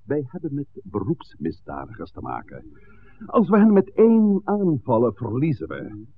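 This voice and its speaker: A man acting a calm, authoritative village priest in a 1960s radio play, speaking deliberately and oratorically. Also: medium pitch, gravelly, full character voice. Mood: urgent, stern. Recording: tinny, noisy 1960s radio recording.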